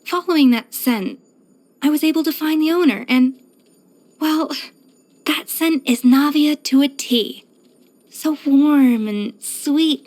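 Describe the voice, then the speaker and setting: An actress voicing a proud, exacting young pastry chef in a video game, poised, self-assured and precise in manner. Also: female voice, sits high. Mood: fond, nostalgic. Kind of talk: scripted video game character voice lines.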